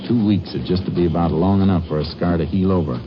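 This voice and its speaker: An actor playing a steady, commanding Texas Ranger investigator, clipped and businesslike, in a 1950s radio drama. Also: male voice, low-pitched, fast, noisy background, close to an everyday voice. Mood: reasoning, decisive. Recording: dull old radio recording, music behind.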